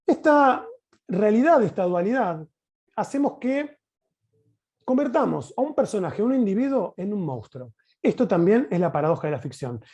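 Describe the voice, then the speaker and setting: An Argentine man speaks as a teacher giving a talk on a video call. His voice is high for a man and mellow, with very lively, swooping intonation. He explains expressively, stressing points and checking in with questions. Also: normal speed, husky, lo-fi sound. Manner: expressive, emphatic, uses rhetorical questions. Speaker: teacher presenting at a conference.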